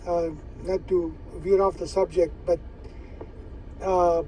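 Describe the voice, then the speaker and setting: A man in casual ham radio chat, folksy, often repeating his phrases with drawn-out pauses. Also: high-pitched, darkish tone, recorded from a distance, slight background noise, low-quality recording. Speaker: amateur radio operator.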